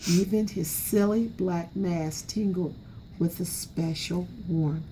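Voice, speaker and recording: A woman's voice: lowish voice, slow, dark tone, slight background noise, poor audio. A woman narrating a children's storybook aloud expressively, as an educator would.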